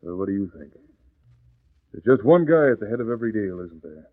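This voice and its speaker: A man's voice: lowish voice, lively intonation, a little fast, no room sound. A man playing a crooked, scheming American businessman in a 1940s radio drama, brusque and slangy, blustering yet anxious. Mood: cocky, scheming. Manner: brusque, slangy, tough-talking. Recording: dry, tinny old radio recording.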